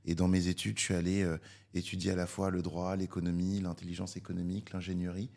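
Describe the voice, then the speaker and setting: A young Parisian man in his twenties, a podcast guest, explaining his career in a measured way with many hesitations. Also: low-pitched, completely flat delivery, vocal fry, darkish tone. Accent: Parisian French. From France. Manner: measured, filler-heavy, explanatory. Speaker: podcast guest, public affairs director. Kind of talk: casual interview talk.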